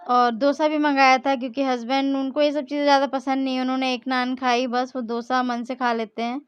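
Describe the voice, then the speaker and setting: A woman's voice: sits high, level tone, fairly quick, clean tone, dry recording, highs cut off. A young woman, chatting casually through her vlog and often correcting herself mid-sentence. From India. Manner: chatty, rambling, frequent self-corrections. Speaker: vlogger.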